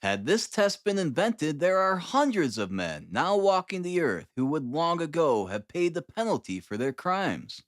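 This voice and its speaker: A man's voice: a little high, very animated, a little slow, few pauses, no echo, studio quality, pitched above the performer's own voice. A man voicing an eager, proud detective-scientist in an audiobook, enthusiastic and exclamatory. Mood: excited, proud. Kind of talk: audiobook character acting.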